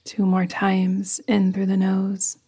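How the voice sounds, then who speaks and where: A woman leads a guided meditation very slowly and softly. Her voice is mellow and lowish, with a gravelly creak at the edges. She keeps an even, soothing flow that often thins into a breathy whisper. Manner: soft, breathy, hushed delivery trailing into whispers. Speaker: yoga nidra guide.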